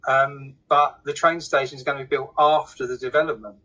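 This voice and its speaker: A man's voice: mid-range voice, fairly even intonation, brisk, sharp tone. A man explaining things casually, in a plain, hesitant, conversational way. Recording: slightly dull lo-fi sound.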